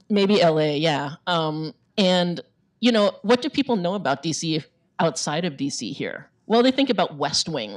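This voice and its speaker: A woman author giving a conversational book talk in an informal way, often checking in with "right?". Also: deep voice. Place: bookstore event before an audience.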